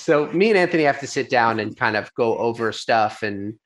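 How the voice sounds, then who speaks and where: A man's voice: medium pitch, expressive, a little fast, fairly bright tone. A young adult man, an actor, chatting casually in an interview, laughing easily and restarting his sentences. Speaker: actor and filmmaker guest.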